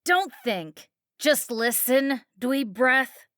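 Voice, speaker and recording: A woman's voice: high voice, expressive, drawn-out words, fairly bright tone, no room sound, studio quality, voice pushed up, full character voice. A woman voicing a foul-mouthed, jealous, bullying mother on drugs, ranting in slang for an audiobook. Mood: bossy, scornful. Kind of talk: audiobook character acting.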